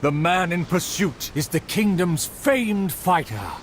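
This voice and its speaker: An actor narrating a storybook-like play in a game, in a formal, dramatic storytelling manner. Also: male voice, sits high, expressive, takes it slowly, slight background noise. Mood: stately. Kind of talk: scripted theatrical narration.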